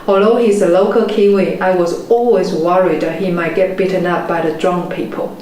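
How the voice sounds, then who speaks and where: A woman in her forties, a native Chinese speaker with a Chinese accent, giving a measured, earnest motivational talk as a property investment mentor. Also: fairly low pitch, steady tone, darkish tone. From China. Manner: measured, earnest storytelling delivery. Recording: very echoey room, faint background noise.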